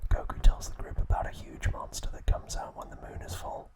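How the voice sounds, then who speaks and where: A man narrating a recap as an ASMR host in a soft, unvoiced whisper, in short deliberate phrases.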